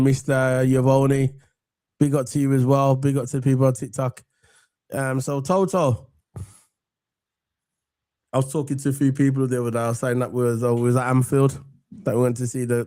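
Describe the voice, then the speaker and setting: A man from England with a croaky voice from a cold, hosting a casual football chat and rambling warmly to viewers. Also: medium pitch, flat delivery, brisk, no echo. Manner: chatty, rambling, gives shout-outs to viewers. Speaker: football podcast host.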